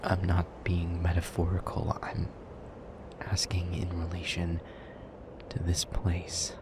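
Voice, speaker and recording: A man playing a brooding, wounded demon lover in an intimate audio roleplay, speaking in a hushed, breathy, measured way. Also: low-pitched, completely flat delivery, noisy background. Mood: brooding, weary.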